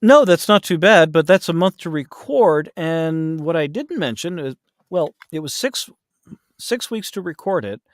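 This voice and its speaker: A man's voice: a little high, animated, a little slow, dry recording, studio quality. A man in a casual interview as a podcast guest, explaining things in a hesitant, self-correcting way. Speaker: podcast guest, voice actor and audiobook narrator.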